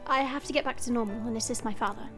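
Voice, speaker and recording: A woman's voice: on the high side, rapid-fire, sharp tone, faint music behind. A woman playing a proud, burdened young dragon princess in an audio roleplay, with formal, regal diction. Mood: solemn, wistful.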